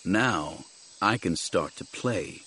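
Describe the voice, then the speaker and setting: A man voicing a grim, stern warrior-monk master in an audiobook, low and tersely commanding. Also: animated, takes it slowly, pushed and bright, a little hiss or hum, full character voice. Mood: cold, resolute.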